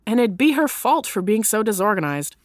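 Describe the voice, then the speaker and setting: A woman narrates a story from a script with an expressive storyteller's delivery. Her pitch is mid-range, and the sound is very dry, free of any room. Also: fairly quick, no room sound.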